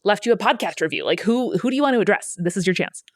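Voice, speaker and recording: A woman's voice: medium pitch, animated, quick pace, no room sound. Playful woman interviewer, dry sound. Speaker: interviewer, host. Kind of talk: casual, playful interview chat.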